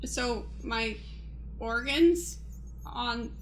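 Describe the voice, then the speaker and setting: A woman's voice: a little high, extremely slow, slight background noise, rough recording. A woman playing a timid male test subject who stammers nervously in an improvised comic radio play. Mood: nervous, alarmed. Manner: stammering, hesitant.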